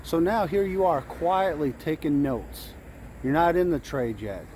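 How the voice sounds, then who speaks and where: Higher-voiced man talking over outdoor noise. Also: measured pace, darkish tone, low-quality recording. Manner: acts out traders' thoughts, repeats phrases for emphasis. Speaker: day trader giving trading tips. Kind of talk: informal off-the-cuff motivational trading talk. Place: outdoors in the heat.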